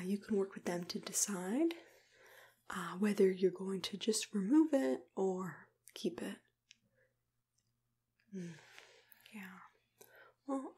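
A young woman doing an ASMR roleplay as a caring dentist, mostly whispering in a gentle, reassuring way, close to the microphone. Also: medium pitch. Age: young adult. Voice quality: breathy, hushed, mostly whispered. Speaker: ASMR creator playing a dentist.

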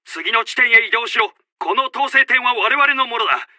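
High-pitched, urgent army officer. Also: male voice, expressive, moderate pace, bright, forceful tone, no room sound, full character voice. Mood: commanding, proud. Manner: commanding, intense, urgent. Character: urgent male Japanese army officer announcing battle updates. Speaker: battle announcer. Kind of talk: military video game announcements, short barked lines.

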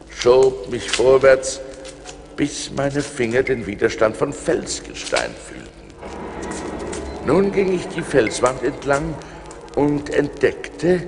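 An actor playing an adventurous seafarer who recounts his perils in dramatic, intense audio play narration, swinging from hushed to urgent. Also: medium pitch, long reverb tail. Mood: urgent, anxious. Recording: noisy vinyl record transfer.

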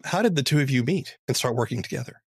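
Male podcast host with mid-pitched voice. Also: expressive, fast talker, breathy edge, no room sound, clean studio sound. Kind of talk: scripted podcast narration and interviewing.

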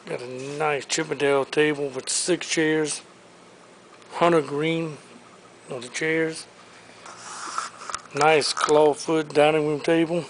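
A man's voice: a little high, little pitch movement, background noise. A man previewing auction items, listing furniture and collectibles one after another as he walks through a large warehouse showroom, recorded on a handheld camera. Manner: listing items briskly, upbeat salesman patter.

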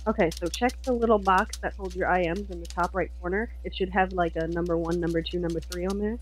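A woman's voice: fairly low pitch, fairly even intonation, fast, rough recording. A woman chatting casually and narrating her part in a fantasy roleplay. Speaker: roleplay co-player. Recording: faint background noise.

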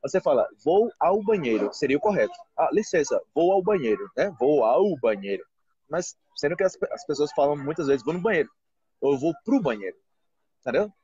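A man's voice: on the high side, big pitch swings, fairly quick, warm tone, husky, no echo, muffled recording. A Brazilian man in his thirties, a Portuguese teacher, chatting casually and animatedly on a live video call from a restaurant, often asking "entendeu?". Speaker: Portuguese teacher and channel host. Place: restaurant, indoors. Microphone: phone held close, front camera.

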